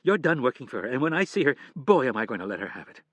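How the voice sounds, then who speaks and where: A man's voice: mid-range voice, expressive, fairly quick, dry recording, lightly acted. A man voicing a fiery young wife who fires off demanding, accusing questions, in an audiobook reading. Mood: angry.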